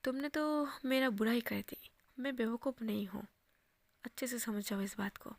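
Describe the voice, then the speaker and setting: A confident, teasing young man speaks in a low, intimate whisper, as a woman puts him on. The tone is gravelly and a bit high, recorded close and dry. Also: moderate pace, no room sound. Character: bold playful young man, teasing and possessive.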